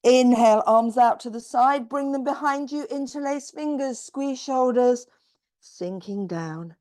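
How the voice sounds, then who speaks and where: A woman teaching a slow-flow yoga class, calmly cueing each pose while doing it herself, her voice a little croaky. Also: high-pitched. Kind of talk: slow guided yoga instruction.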